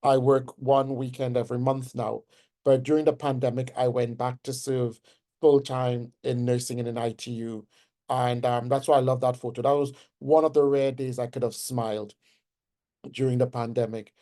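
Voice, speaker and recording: Trinidadian man lecturing, mellow tone. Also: medium pitch, flat delivery, normal speed. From Trinidad and Tobago. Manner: warm, personal, conversational lecturing with fillers. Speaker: guest speaker, nursing professor. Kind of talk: webinar lecture.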